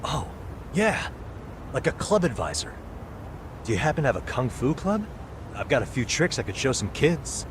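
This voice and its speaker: A man's voice: mid-range voice, big pitch swings, moderate pace, long pauses, background noise, natural voice. A man voicing an easygoing, wry lawyer turned investigator in a video game, with casual phrasing. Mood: casual, persuasive.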